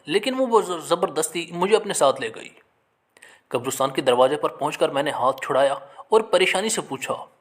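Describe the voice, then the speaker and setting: A man's voice: fairly high pitch, fairly bright tone. A man narrating a scripted first-person story in a dramatic, emotional manner.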